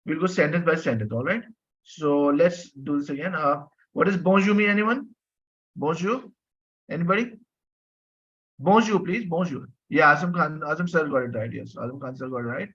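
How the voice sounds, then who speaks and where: A male teacher with a fairly high voice leads an online French lesson. He explains things patiently, repeats himself and keeps asking the class to answer. The sound is dull and low-fidelity, like a video call. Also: normal speed, a bit bright, husky. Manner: patient, repeats himself, asks students questions. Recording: dull lo-fi audio.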